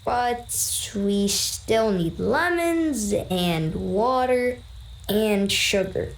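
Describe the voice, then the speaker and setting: A woman's voice: medium pitch, expressive, extremely slow, creaky, exaggerated voice. A woman voicing an earnest young child in a video game. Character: earnest young child planning a lemonade stand. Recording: roomy, echoing sound.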